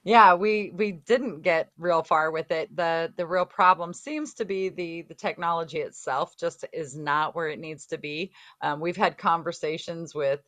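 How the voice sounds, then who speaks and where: A woman's voice: fairly low pitch, a little slow, few pauses, a bit bright, no echo. A woman testifying before a legislative committee, answering questions plainly with frequent ums and restarts. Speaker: local housing official testifying.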